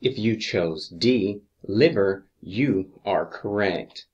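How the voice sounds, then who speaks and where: Male instructor for exam review narration: fairly deep voice, very unhurried, measured reading of questions and options. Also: a little mellow, lo-fi sound. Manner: measured, deliberate reading with pauses between answer choices.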